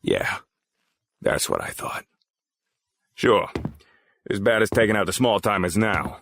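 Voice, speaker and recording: Casting: male actor as menacing crime boss, audio drama. Lowish register, condescending, dry sarcasm, controlled. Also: lively intonation, a little slow, gravelly, a bit bright, slight background noise, exaggerated voice. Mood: cold, threatening. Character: cold, menacing male crime boss hosting powerful guests. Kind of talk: scripted audio drama dialogue.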